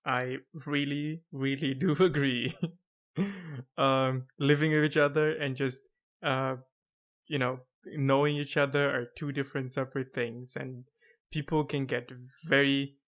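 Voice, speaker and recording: Give me a monotone, laughing host. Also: male voice, mid-range voice, a little slow. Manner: monotone, laughs often, many restarts. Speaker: podcast host. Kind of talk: casual video-call chat.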